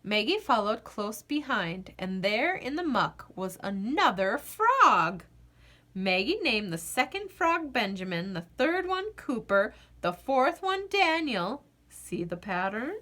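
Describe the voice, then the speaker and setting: A woman reading a children's picture book aloud as an expressive narrator, with deliberate phrasing and dramatic pauses. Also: on the high side. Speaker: children's storybook reader.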